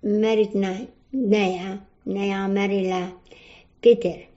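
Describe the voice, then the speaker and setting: An older woman from Croatia speaks slowly in broken English with a Croatian accent, and she often stops to search for a word. Her voice is mellow and low in brightness. The recording is dull and lo-fi. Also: little pitch movement. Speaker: interviewee. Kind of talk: oral history interview.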